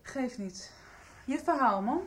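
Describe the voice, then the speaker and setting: An actress playing an insecure, jealous teenage girl, halting and pleading, in a Dutch radio soap. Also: high-pitched, lively intonation. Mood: sulky.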